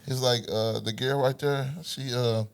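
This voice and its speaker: A man's voice: mid-range voice, fairly even intonation, measured pace, vocal fry, clear, resonant tone. A man, hosting a podcast and asking questions and telling anecdotes. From Tennessee, US. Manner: asks questions, tells anecdotes. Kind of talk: casual chat, storytelling.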